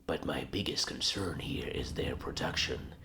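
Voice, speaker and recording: A man voicing a sinister, calculating orc warlock in a gravelly whispery rasp, briefing slowly in a game cutscene. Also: rumbling low. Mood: grave scheming. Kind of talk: video game cutscene acting.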